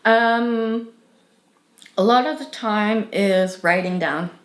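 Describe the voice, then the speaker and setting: A woman's voice: medium pitch, takes it slowly, reverberant. A young woman with a French accent, a native French speaker, answering interview questions earnestly and thoughtfully, with many fillers and restarts.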